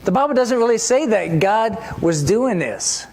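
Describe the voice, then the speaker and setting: A mid-pitched preacher talks through a church sermon as if chatting with friends. The delivery keeps turning to the congregation with rhetorical questions. A faint hiss sits under the voice. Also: expressive, normal speed, a little mellow. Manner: conversational, full of rhetorical questions.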